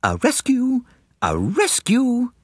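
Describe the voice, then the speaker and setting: An excitable, giggly teenage girl of eighteen, as a male narrator acts her out. The voice is very high and gasping, gushing and swooping, in a lively storybook manner. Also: slow, breathy edge, no echo, clean studio sound, exaggerated voice. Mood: excited. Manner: gushing, conspiratorial. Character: excitable, breathless, giggly eighteen-year-old girls.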